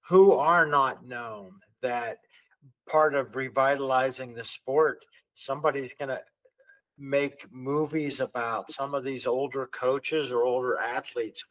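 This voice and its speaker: A man's voice: mid-range voice, steady tone, measured pace, a bit bright, rough recording. A male podcast host asking curious, encouraging questions in an interview.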